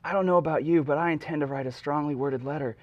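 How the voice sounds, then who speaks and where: A man's voice: a little high, fast, dry recording, dull audio, lightly acted. A man playing an earnest young man in icy water, pleading in a parody dramatic reading.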